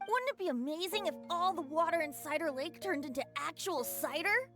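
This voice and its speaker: A woman's voice: very high voice, lively intonation, a little fast. A woman playing a breezy, teasing young drinker in a video game cutscene, with a playful theatrical manner. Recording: game music behind the voice.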